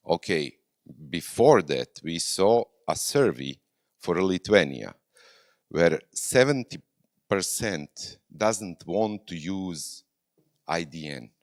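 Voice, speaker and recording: A man's voice: sits low, no echo, studio quality. A man speaking off the cuff at a conference, informal and direct. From Serbia. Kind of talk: unscripted conference talk.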